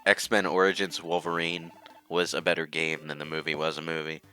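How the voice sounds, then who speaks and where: A man's voice: a bass voice, level tone, normal speed, bright, forceful tone, soft background music. A man casually commentating on a game with friends. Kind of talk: casual group banter commentary.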